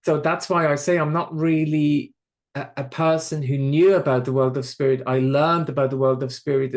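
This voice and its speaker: Reflective Swiss-accented man, halting speech. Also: medium pitch. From Switzerland. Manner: halting, repeats words, reflective. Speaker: medium. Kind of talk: podcast interview.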